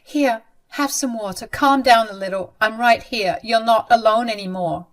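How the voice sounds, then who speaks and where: A woman's voice: fairly high pitch, moderate pace, natural voice. A woman doing a fiery, protective young bride. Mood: worried, outraged. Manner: emphatic and outraged.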